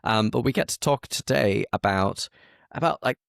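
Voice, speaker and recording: A man's voice: medium pitch, normal speed, gravelly, a bit bright, dry recording, studio quality. A man hosting a podcast in a casual interview style, informal and given to banter. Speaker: podcast host.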